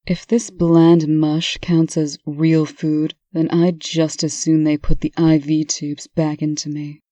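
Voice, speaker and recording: A woman's voice: deep voice, little pitch movement, slow, warm tone, no echo. A woman playing a weakened, testy, dry-witted female patient in a comic dub. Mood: bored, wry.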